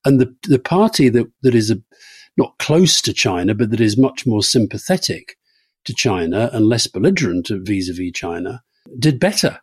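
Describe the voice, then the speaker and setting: A man's voice: fairly low pitch, sing-song, moderate pace, breathy edge, dry recording, clean studio sound. A man co-hosting a podcast, talking politics with wry teasing and frequent restarts. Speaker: podcast co-host. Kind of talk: political discussion.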